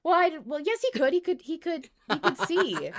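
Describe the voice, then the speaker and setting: A woman's voice: extremely high pitch, very animated, normal speed, creaky. Wry woman chatting on a podcast. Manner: wry, pragmatic reasoning. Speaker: podcast co-host. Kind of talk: casual podcast discussion of a fiction show.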